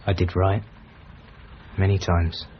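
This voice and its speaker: An actor in a radio drama playing a loyal, idealistic middle-aged travelling companion, earnest and pleading. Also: male voice, deep voice, flat delivery, takes it slowly. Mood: puzzled, hurt. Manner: earnest, urging delivery. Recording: dull, slightly noisy radio recording.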